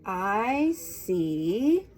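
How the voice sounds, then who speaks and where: A woman reading picture books aloud to young children in an expressive, sing-song, encouraging way, often putting questions to her listeners. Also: mid-range voice, very slow. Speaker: children's storytime reader and teacher.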